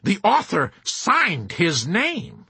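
A man's voice: high-pitched, huge pitch swings, vocal fry, bright, forceful tone, slightly rough, no room sound, pitched above the performer's own voice, heavily acted. A man voicing a sarcastic, superior army colonel who taunts his prisoner. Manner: scornful, taunting, drawn-out sarcasm.

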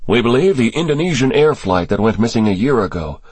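A man voicing an assured male intelligence operative in an audiobook thriller, speaking in a measured, collegial way without hesitation. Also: medium pitch, big pitch swings, a little mellow, husky, dry recording, pitched above the performer's own voice.

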